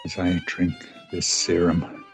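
A man playing a despairing, remorseful Victorian gentleman doctor in a solemn radio play monologue. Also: low-pitched, monotone, vocal fry, faint music behind, full character voice. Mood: anguished, remorseful. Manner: solemn, halting.

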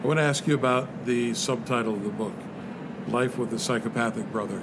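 A man's voice: medium pitch, steady tone, fairly quick. A man hosting an interview, asking long conversational questions. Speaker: podcast host. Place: noisy spot near traffic. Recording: background traffic noise.

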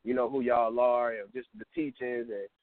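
A man's voice: mid-range voice, fairly even intonation, normal speed, no echo. A man in his twenties calling in to a talk show, telling loose, rambling stories and laughing often. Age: 20s. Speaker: caller. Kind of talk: casual call-in chat. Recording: phone-line audio.

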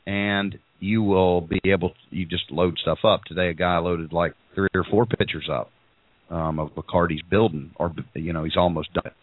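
A man with a very low voice, audibly congested and a bit froggy, talks through show announcements in a loose, rambling way. The sound is tinny and phone-like, and the room is dry. Many ums and restarts run through his talk. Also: steady tone, normal speed, no room sound. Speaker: podcast host.

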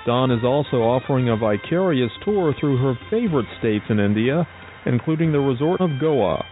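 A man hosts a radio show and reads a station break in a smooth announcer manner. His pitch sits in the middle. The sound is thin and lo-fi, with faint music underneath. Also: lively intonation, moderate pace. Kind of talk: scripted radio host read.

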